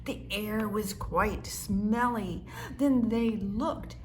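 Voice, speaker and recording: Lady reading a rhyming kids book out loud, really unhurried and expressive, little bit of noise behind her. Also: medium pitch. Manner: measured, expressive storytelling. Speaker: storybook reader. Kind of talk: rhyming children's story narration, read aloud.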